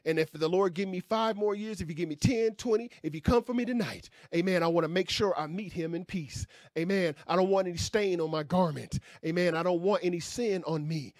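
A man's voice: high-pitched, dry recording, studio quality. Emphatic preaching man in his fifties. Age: 50s. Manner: emphatic call-and-response preaching with frequent amens; folksy, conversational, gestures with hands. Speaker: pastor. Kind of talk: preaching Bible class. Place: small indoor room with curtain backdrop. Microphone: stand mic in front.

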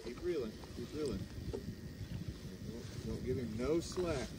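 A man's voice: medium pitch, a little slow. A man working as a fishing guide, patiently coaching a client through landing a fish on a boat. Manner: calm, patient instructions, folksy phrasing. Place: open boat on a windy lake. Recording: loud wind and boat noise.

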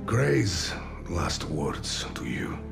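An actor playing a weary middle-aged male scientist, speaking in a gravelly, halting way. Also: low-pitched, big pitch swings, over loud music, full character voice. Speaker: scientist father figure. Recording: game cutscene with loud score.

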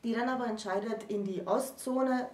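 A woman's voice: a little high, moderate pace. A woman in her thirties hosting a conversational educational discussion, explaining articulately and posing questions. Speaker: host presenting a literature discussion. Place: small book-lined room, indoors. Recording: roomy sound, distant microphone.

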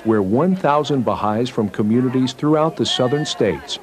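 A male narrator reads a scripted newsreel report. His pitch sits in the middle range for a man. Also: expressive, normal speed, soft background music.

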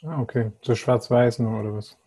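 A man in his thirties interviews someone over a video call. His voice is dark and husky, at a middling pitch. He asks questions reflectively, in a dry-sounding room. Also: brisk, no echo. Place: plain room indoors.

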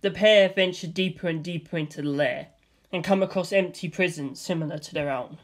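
A man's voice: a little high, brisk. A man reading out a comedy screenplay and its stage directions in a dry, matter-of-fact way. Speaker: script reader. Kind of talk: deadpan comedic script reading.

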